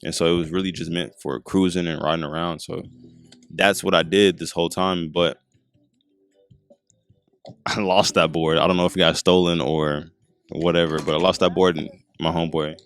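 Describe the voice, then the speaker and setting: A man in his twenties with a deep voice, chatting casually as a podcast guest at an outdoor skate park, laid-back and rambling with lots of fillers. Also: level tone, normal speed. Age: 20s. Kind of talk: casual chat. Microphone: handheld mic.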